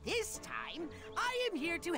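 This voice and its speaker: A man's voice: extremely high pitch, big pitch swings, slow, music in the background, exaggerated voice. A man voicing a short, scheming evil overlord in a video game, pompous and melodramatic. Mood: grudging, theatrical.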